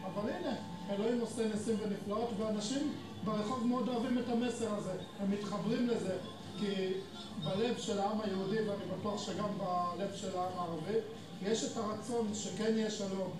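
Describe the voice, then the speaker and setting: Fast-talking man in an echoey space. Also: sits high, fairly even intonation. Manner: earnest, rushed. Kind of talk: personal testimony. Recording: echoey video audio from a distance.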